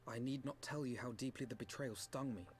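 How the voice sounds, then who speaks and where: A man's voice: mid-range voice, fairly quick, faint music behind, natural voice. A man acting an earnest, idealistic young commander in a fantasy game, with solemn and eloquent archaic diction. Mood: regretful, resolute.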